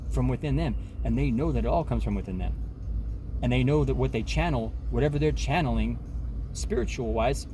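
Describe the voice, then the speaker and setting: A man's voice: medium pitch, background noise. A man in his forties talking in a rambling, emphatic self-help monologue, full of restarts and repeated words. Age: 40s.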